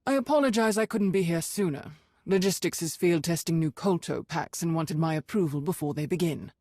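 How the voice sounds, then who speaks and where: An actor playing a stern, duty-bound Sith lord in a video game, formal, brisk and authoritative. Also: mid-range voice, darkish tone, no echo, clean studio sound, close to an everyday voice. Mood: stern resolve.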